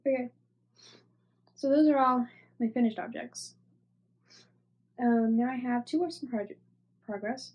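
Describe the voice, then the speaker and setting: Stuffy-voiced woman chatting softly about knitting. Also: fairly high pitch, a little slow, long pauses. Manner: rambling, filler-heavy, trailing off into soft near-whispers. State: stuffy, congested. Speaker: knitting podcast host. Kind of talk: casual show-and-tell chat.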